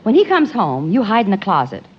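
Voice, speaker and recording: An actress playing a flirty, scheming, unfaithful wife in an arch, melodramatic 1940s radio comedy style. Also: female voice, medium pitch, huge pitch swings, fairly quick, husky, dull audio. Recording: noisy old radio recording.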